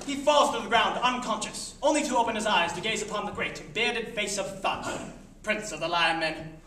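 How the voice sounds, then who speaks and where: A man's voice: high voice, moderate pace. A young man delivering theatrical, declamatory narration in a radio-serial style. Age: young adult. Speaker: performer narrating. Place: classroom, indoors. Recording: echoey classroom, distant noisy camera.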